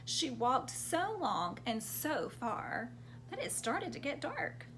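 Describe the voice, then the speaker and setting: A woman storyteller reads to young children with a very animated, fairly high voice. She takes it slowly and invites listeners to join in, with faint noise in the recording. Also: low-quality recording. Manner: interactive, prompting children with questions and sound words. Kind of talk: expressive read-aloud storytelling for young children.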